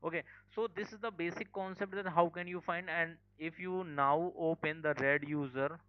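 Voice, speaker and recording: A man's voice: fairly high pitch, steady tone, moderate pace, clear, resonant tone. A man teaching a live online security class, informal, full of fillers and "okay". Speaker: cybersecurity instructor. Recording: dull lo-fi call audio.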